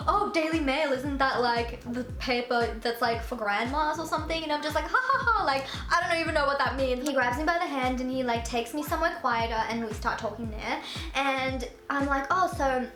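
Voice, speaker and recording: A young woman from Russia telling a storytime in casual chat, full of "like" and lively quoted voices. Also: fairly quick, reverberant, music in the background. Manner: chatty, fills speech with "like", jumps high for quoted voices. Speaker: vlogger.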